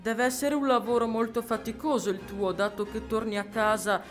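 A woman's voice: on the high side, steady tone, normal speed. A woman presenting and interviewing in a scripted style for a radio programme. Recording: music behind the voice.

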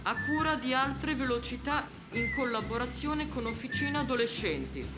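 Higher-voiced female radio interviewer. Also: animated, moderate pace. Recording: music behind the voice.

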